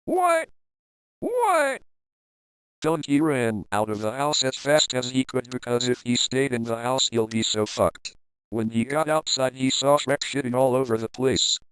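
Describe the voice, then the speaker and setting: A man's voice: medium pitch, steady tone, moderate pace, a bit bright, smooth, no echo, low-quality recording. A man reading a comic fan fiction story aloud in a playful, crude, dramatic manner.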